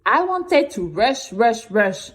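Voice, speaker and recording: A woman playing a nervous, chatty young girl who tells her story in a conversational, expressive way. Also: on the high side, slow. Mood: anxious, animated. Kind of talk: first-person scripted audio drama narration.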